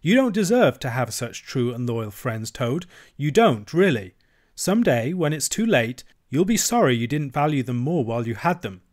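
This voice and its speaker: A man's voice: a little high, sing-song, moderate pace, voice pushed up. A man voicing the Water Rat, a cross but kind-hearted male rat who scolds his friend. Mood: scolding. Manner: scolding, reproachful.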